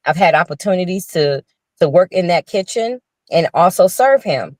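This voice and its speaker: A woman's voice: on the low side, animated, normal speed, a little mellow, no echo, studio quality. An older woman and restaurant owner, a guest telling her story in an earnest, casual way with frequent restarts over a video call. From Chicago. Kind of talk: casual interview talk.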